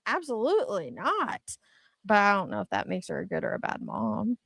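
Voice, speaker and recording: Woman, very expressive intonation, dry sound, casual chat, sassy, teasing. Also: mid-range voice, moderate pace, creaky, husky, dry recording. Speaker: co-host reacting to viewer comments.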